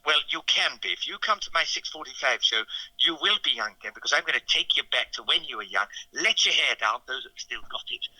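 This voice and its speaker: A man's voice: medium pitch, animated, fast, pushed and bright, dry recording, low-quality recording. A man with a 1950s Received Pronunciation accent, a comedy entertainer talking as a radio interview guest in a plummy, theatrical way.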